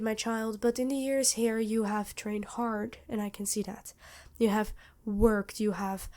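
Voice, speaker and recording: A woman performs a centuries-old vampire queen with a soft, mellow tone. She is regal yet motherly, protective and worried. Her ASMR roleplay speech is formal and tender, set out in measured phrases with pauses. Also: fairly high pitch, close to an everyday voice.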